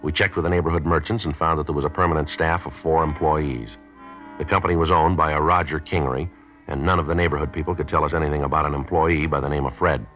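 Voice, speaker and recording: Very deep-voiced, clipped police sergeant. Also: male voice, steady tone, fast, fairly bright tone. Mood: matter-of-fact. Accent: American. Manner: clipped, matter-of-fact. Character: terse, businesslike male police sergeant, posing as buyer. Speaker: police detective narrator. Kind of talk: old-time radio crime drama acting and narration. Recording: dull vintage radio recording, music behind.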